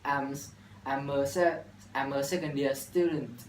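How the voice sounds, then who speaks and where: A nineteen-year-old university student from Vietnam with a Vietnamese accent, reciting an English practice dialogue in a careful, halting way with restarts. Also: male voice, mid-range voice, steady tone. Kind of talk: scripted classroom dialogue practice. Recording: echoey room from far off, faint noise.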